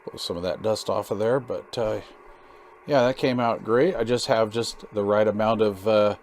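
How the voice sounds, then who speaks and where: A man's voice: on the low side, steady tone, moderate pace, darkish tone. A man hosting a hands-on DIY radio build video, chatting casually in a rambling, folksy way with informal slang. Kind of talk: casual hands-on tutorial chat.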